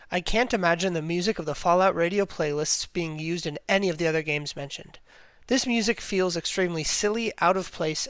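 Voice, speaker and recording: A man's voice: a little high, fairly bright tone, clear, resonant tone, no room sound. A man reading a scripted analytical video essay in a formal, academic manner.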